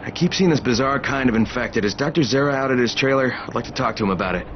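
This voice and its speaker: Casting: male actor as resolute zombie-game action hero, mid-pitched, noisy muffled track. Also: fast talker, lightly acted. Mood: businesslike. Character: gruff, determined action hero, adult survivor in zombie game. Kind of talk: video game cutscene acting.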